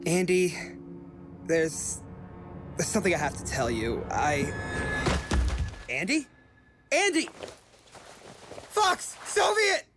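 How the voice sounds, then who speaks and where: A man's voice: sits high, very animated, takes it slowly, pauses often, heavily acted. A man playing an anxious, loyal young companion in an audio drama, earnest and urgent. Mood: panicked. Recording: music behind the voice.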